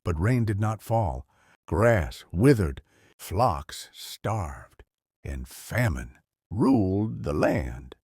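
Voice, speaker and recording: A man narrating a scripted story solemnly as narrator, with a husky voice. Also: low-pitched, big pitch swings, very slow, a little mellow, no room sound, studio quality.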